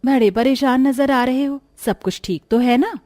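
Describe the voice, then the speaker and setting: A woman's voice: high voice, moderate pace, dark tone, faint music behind, lightly acted. A woman voicing a middle-aged farmer's wife in a village story, practical and concerned, ready to chide. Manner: concerned, chiding.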